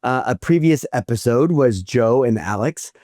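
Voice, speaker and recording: A man hosting a podcast interview, asking questions with halting restarts. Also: mid-range voice, lively intonation, darkish tone, dry recording, studio quality. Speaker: podcast host.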